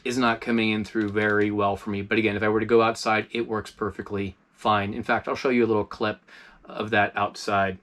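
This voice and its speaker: A man casually reviewing a budget radio as host of his channel, relaxed and chatty with lots of fillers, recorded inside an RV. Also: fairly low pitch, a little fast.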